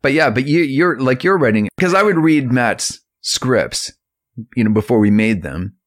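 A man's voice: mid-range voice, lively intonation, normal speed. A man co-hosting a podcast, talking casually with an enthusiastic, admiring manner. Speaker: podcast co-host.

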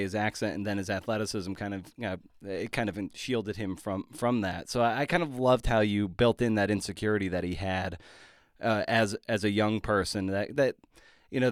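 A man hosting a podcast interview in a casual, halting, tentative way. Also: on the low side, no room sound, clean studio sound.

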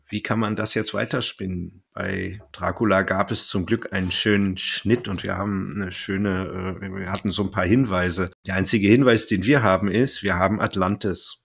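A man's voice: low voice, normal speed, gravelly, few pauses, husky. A man chatting casually as a podcast host, thinking aloud and often rephrasing mid-sentence.

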